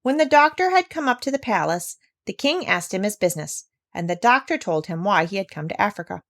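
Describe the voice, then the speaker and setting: A woman narrating a children's story aloud in an expressive, scripted storytelling style. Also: mid-range voice, brisk, no room sound.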